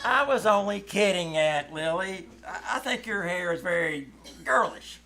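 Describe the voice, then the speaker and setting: An actor playing a sarcastic, cheeky teenage son in a 1940s-style radio comedy, with wry mock-formal delivery. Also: male voice, high-pitched, animated, measured pace. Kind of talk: 1940s radio comedy acting before live audience.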